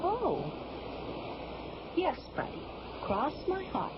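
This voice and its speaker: A woman's voice: sits high, wildly swooping pitch, drawn-out words, full character voice. A woman playing a serene, formal alien attendant in a radio drama, with poised delivery. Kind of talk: old-time radio drama acting. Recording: dull, noisy old radio recording.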